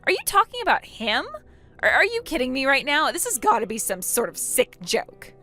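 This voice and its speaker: A woman's voice: on the high side, animated, normal speed, fairly bright tone, faint music behind. A woman voicing an indignant, self-pitying young wife in a melodramatic story, full of incredulous questions and outraged exclamations. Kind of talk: acted dialogue in a melodramatic story.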